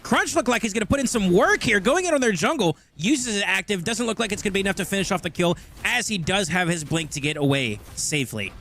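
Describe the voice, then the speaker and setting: A man with a high, lively voice hosting an esports stream and chatting straight to his viewers. A faint noise sits under his voice. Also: quick pace. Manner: chatty, addresses chat directly. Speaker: stream host. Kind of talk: casual stream commentary.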